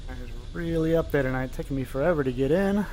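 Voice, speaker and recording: A man's voice: on the high side, quick pace, warm tone, slight background noise, poor audio. A man with a stuffy, slightly nasal voice narrating a simulated flight live, explaining as he goes with many fillers. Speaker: flight sim pilot streaming.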